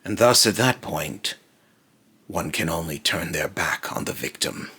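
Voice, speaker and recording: A man voicing a brooding otherworldly being in a slow, halting, grave monologue, raspy and breathy, warning darkly about mortals. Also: deep voice, animated, sharp tone, full character voice. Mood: dark, menacing. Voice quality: raspy, breathy, lapsing into harsh whisper. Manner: slow, halting, repeats phrases, ominous and grave. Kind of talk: dark dramatic monologue.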